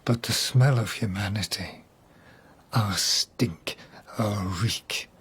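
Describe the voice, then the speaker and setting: An actor playing a deluded imprisoned nobleman of old Japan in a radio drama, theatrical and bitterly wistful, with drawn-out phrases and hushed whispers. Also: on the low side, husky, full character voice. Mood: anguished.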